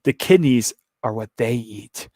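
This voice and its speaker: A hoarse man reading a calm, gleeful male serial killer in custody, slow, low and matter-of-fact. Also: dry recording, clean studio sound. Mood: cryptic.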